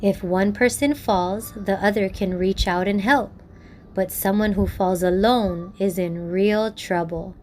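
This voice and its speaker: A woman from Hawaii hosting a children's storytime, expressive and encouraging, speaking colloquially to young listeners. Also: medium pitch, a little slow, slight background noise.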